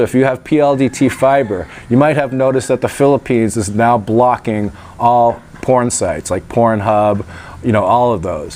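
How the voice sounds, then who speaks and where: A man's voice: medium pitch, darkish tone, a little hiss or hum. Stuffy-nosed man chatting to camera. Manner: chatty, wry asides. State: stuffed-up nose, a little nasal. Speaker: vlogger. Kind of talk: casual vlog talk to camera. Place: outdoors in sunshine by a gate.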